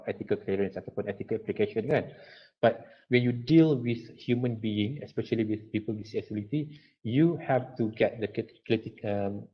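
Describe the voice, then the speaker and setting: A Malaysian-accented man, a university lecturer, answering questions in an online lecture in an explanatory way, often repeating phrases for emphasis. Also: on the low side. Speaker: university lecturer and design researcher. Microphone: video call. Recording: dull lo-fi online call audio.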